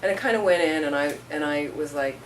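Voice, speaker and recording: A woman with a low voice gives a relaxed teaching talk, chatting through stories and slipping into the voices of the people in them. She is heard from across the room on a distant mic, with a faint hum of room noise behind her. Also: brisk. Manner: conversational, storytelling with acted-out quotes. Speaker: Buddhist teacher. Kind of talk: informal dharma talk.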